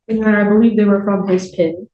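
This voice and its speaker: A woman's voice: medium pitch, fairly even intonation, quick pace, dull audio. A woman speaking as a prosecutor, making a formal argument to a judge in court. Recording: echoey courtroom, faint background noise.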